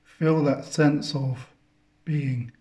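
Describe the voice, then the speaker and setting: A man leading a guided meditation, speaking contemplatively in halting phrases with long pauses between them. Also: fairly high pitch, steady tone, mellow. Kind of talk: guided meditation, spiritual talk.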